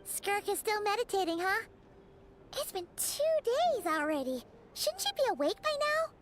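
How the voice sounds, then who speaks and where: A woman's voice: very high voice, big pitch swings, moderate pace, soft background music. A woman voicing a tiny, childlike floating fairy companion in a video game, chirpy and exaggerated. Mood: eager. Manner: chirpy, exaggerated, refers to self by name. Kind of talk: video game character dialogue.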